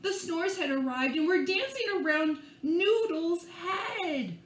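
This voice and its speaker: A woman reading a picture book to young children in an expressive, playful and theatrical way, drawing out words and adding hushed asides. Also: very high-pitched, slow pace. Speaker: librarian reading at children's storytime. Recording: echoey room with faint background noise.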